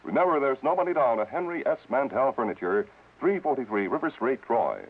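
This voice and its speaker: A male American announcer gives a vintage radio sales pitch. His medium-pitched voice moves up and down in a lively way. The sound is thin and narrow, like an old broadcast. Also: a little fast, background noise. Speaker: commercial announcer. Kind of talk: 1950s radio advertising pitch.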